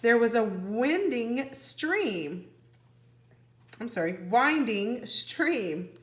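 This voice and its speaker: A woman's voice: fairly high pitch, very animated, drawn-out words, roomy sound. A woman teacher reading a children's storybook aloud expressively, putting questions to young listeners. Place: indoor room, book held on camera.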